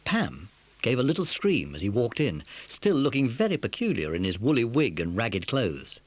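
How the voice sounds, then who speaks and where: A man's voice: medium pitch, wildly swooping pitch, normal speed, no room sound. A man narrating a scripted children's story as the narrator of an audio dramatisation.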